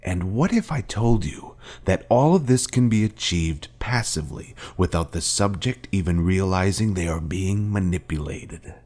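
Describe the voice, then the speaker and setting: A man playing a grave former Jedi Master in a video game, speaking in a solemn, deliberate, rhetorical way to his fallen pupil. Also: low voice, animated, darkish tone. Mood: grave, probing. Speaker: game character. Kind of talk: scripted dramatic video game dialogue.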